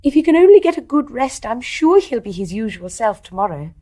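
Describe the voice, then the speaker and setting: An actress playing an emotional, refined young English wife in a period radio drama, with well-bred, heightened delivery. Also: female voice, on the high side, big pitch swings, fairly quick, warm tone. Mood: bright, sociable. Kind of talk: stage-play radio drama acting.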